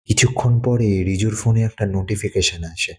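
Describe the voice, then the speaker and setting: A man narrating a dramatic thriller story in measured, suspenseful phrases. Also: lowish voice.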